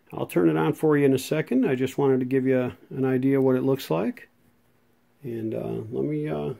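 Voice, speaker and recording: A man's voice: mid-range voice, gravelly, mellow. An American man giving a casual, informal demonstration as a folksy video host. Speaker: radio restorer hosting a demo video. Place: indoor workshop room.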